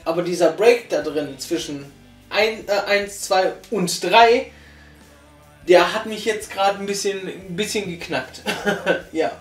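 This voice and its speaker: A man's voice: sits high, a little slow. A young man reviewing a radio drama in casual chat, hesitant, with many fillers and restarts, sitting on a sofa. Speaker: podcast reviewer. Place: living room, sitting on a sofa. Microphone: far camera. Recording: roomy, far from the mic, faint music.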